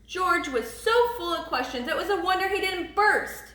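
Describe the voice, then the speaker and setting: A woman reading a picture book aloud with expressive, emphatic storybook narration. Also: very high-pitched, normal speed, echoey room.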